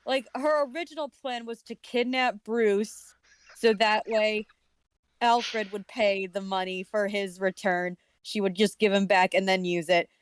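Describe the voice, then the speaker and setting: A woman's voice: mid-range voice, steady tone, unhurried, clean tone, no room sound. A woman co-hosting a casual discussion, talking in a sarcastic, critical way. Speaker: podcast co-host. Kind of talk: casual comic-book discussion.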